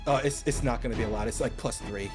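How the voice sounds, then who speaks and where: A man improvising tabletop game descriptions in halting, conversational talk. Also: medium pitch. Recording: loud music behind the voice. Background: loud music.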